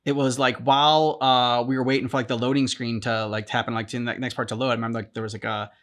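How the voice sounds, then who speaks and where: Man co-host, mid voice, loose halting reaction chat, ends with a viewer plug. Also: mid-range voice, level tone, fairly bright tone. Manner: rambling, halting, full of "like" and "um" restarts. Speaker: co-host of a game reaction channel. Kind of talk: casual discussion reacting to game cutscenes.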